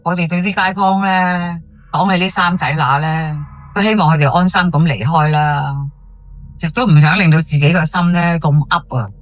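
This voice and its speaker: A woman's voice: lowish voice, fairly even intonation, moderate pace, lightly acted, low-quality recording. An actress with a Hong Kong Cantonese accent playing a chatty, dramatic housewife neighbour telling her tale in an audio drama. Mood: sorrowful. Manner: colloquial, exclamatory, gossipy. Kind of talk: podcast audio drama monologue.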